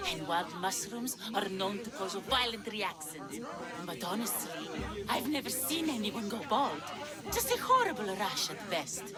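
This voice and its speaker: A woman's voice: medium pitch, natural voice. A woman playing a scheming, possessive alchemist in a video game, with a condescending, theatrical and taunting manner. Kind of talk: video game cutscene acting.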